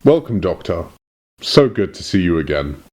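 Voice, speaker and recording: Casting: man as self-important, slippery alien messenger for parody audio drama, dark soft tone, formal theatrical delivery. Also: sits low, big pitch swings, moderate pace. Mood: formal, portentous. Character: pompous, evasive alien Time Lord messenger in smart suit.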